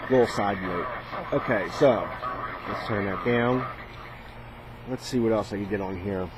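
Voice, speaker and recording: A man's voice: on the low side, measured pace. A man casually demonstrating a radio as a hobbyist, hesitant and thinking aloud as he goes. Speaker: radio hobbyist presenting a video. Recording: noisy, radio static in background. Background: shortwave radio static and chatter.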